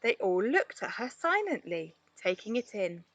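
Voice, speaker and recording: A woman reading a children's story aloud in an expressive narrating style. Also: medium pitch, measured pace, no echo. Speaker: storybook reader, play therapist.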